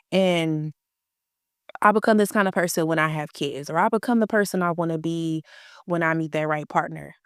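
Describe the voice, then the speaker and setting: Woman in her 30s doing her podcast, bit stuffy nose, lowish smooth voice, dry close mic. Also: dry recording. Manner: chatty, emphatic, slangy. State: stuffy from a cold. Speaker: podcast host. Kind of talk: casual motivational podcast talk.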